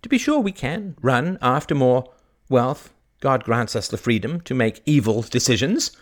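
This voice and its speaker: A zealous village priest thunders from the pulpit in a raised, animated voice, hammering out rhetorical questions. A man performs him while reading a story aloud. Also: mid-range voice, a little slow, voice pushed up. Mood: fervent preaching. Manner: impassioned, sermonizing, rhetorical questions. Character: fiery preaching village priest, male, middle-aged.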